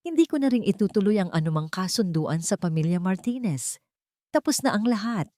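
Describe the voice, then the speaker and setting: A woman's voice: mid-range voice, expressive, a little fast, dark tone, no room sound, clean studio sound, natural voice. A woman voicing a determined, devoted young businessman in a romance drama, speaking firmly and earnestly. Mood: resolute. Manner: firm, earnest, declarative.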